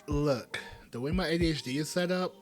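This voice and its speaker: A man in his thirties hosting a podcast, chatting casually with animated, joking asides. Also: a little high, brisk, faint music behind. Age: 30s. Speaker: podcast host.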